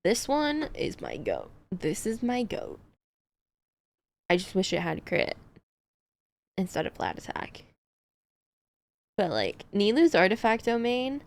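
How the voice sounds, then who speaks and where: A woman streamer chatting casually on a livestream, thinking aloud about game builds and laughing often. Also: mid-range voice.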